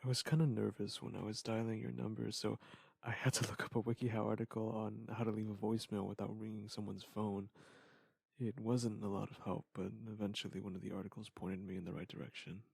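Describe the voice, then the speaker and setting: A man acting a wistful former lover in an intimate scripted monologue, speaking in a halting, reflective way with a husky, gravelly voice. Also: lowish voice, little pitch movement, darkish tone, dry recording. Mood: nervous, hesitant.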